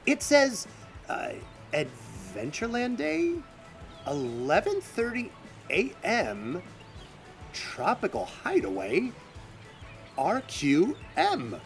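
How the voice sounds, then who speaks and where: Man playing an animated, eager young adventurer. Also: sits high, slow, vocal fry, pauses often, full character voice. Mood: reading puzzled. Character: eager, resourceful young male adventurer seeking his grandfather. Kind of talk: animated radio drama acting. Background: music.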